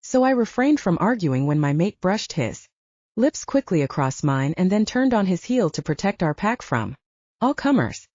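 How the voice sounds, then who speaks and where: Mellow woman narrating fiction, lively pitch swings. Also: moderate pace, dry recording, muffled recording. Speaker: audiobook narrator. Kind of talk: scripted first-person fiction narration.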